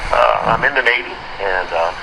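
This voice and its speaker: A man in his forties talking casually over amateur radio in a halting, rambling way. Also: fairly low pitch, creaky. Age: 40s. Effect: amateur radio transmission. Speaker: Navy electronics technician and newly licensed radio amateur. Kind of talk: casual ham radio conversation. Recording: very noisy radio link with loud roar.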